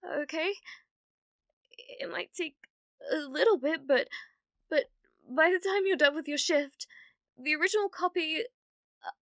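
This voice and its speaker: A woman plays a lovestruck young witch with a British accent and a slightly high, breathy voice. She speaks slowly and haltingly, flustered and obsessive, often softening toward a whisper. It is an ASMR roleplay, recorded close and very dry. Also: no room sound. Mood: excited, flustered. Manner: hesitant, stammering, nervous giggling. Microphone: close microphone, dry sound.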